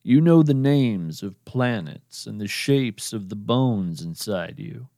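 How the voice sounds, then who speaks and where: A man narrating his podcast in a slow, measured, earnest scripted address to the listener. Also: lowish voice, lively intonation, vocal fry, mellow, dry recording, studio quality.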